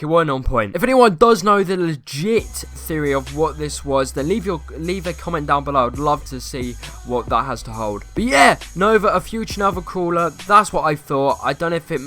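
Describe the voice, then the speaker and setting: A young man YouTuber with a slightly croaky voice, rambling through casual gameplay commentary and asking viewers questions. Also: a little high, fairly bright tone. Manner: rambling, self-correcting, asks viewers questions.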